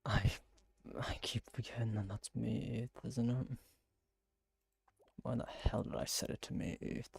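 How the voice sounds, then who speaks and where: A man giving casual, low-key gameplay commentary in a muttering way. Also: steady tone, measured pace, vocal fry, long pauses, a little mellow, slightly rough. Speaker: gamer.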